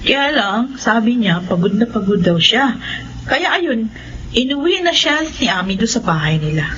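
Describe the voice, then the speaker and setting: An actress playing an excitable mother in a radio horror drama, speaking with dramatic emphasis. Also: on the high side, moderate pace. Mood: earnest. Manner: animated, emphatic. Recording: dull, noisy radio drama sound.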